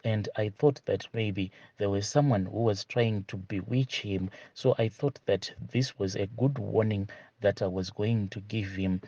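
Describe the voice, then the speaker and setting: Man, lowish voice, host reading a listener's story, dry dull lo-fi sound. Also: a little slow, dark tone, dry recording. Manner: plain first-person retelling with repeated asides. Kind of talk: reading a listener's confession aloud.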